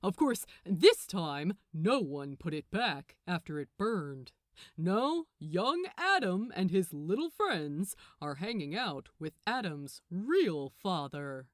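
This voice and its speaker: A smug, mocking male archangel from an audiobook story, played by a woman. He talks down to his listener with theatrical relish. The pitch swings freely and the sound is close and dry. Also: sing-song, slow, no echo. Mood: mock pity. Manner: condescending, chuckling, theatrical. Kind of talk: acted audiobook dialogue.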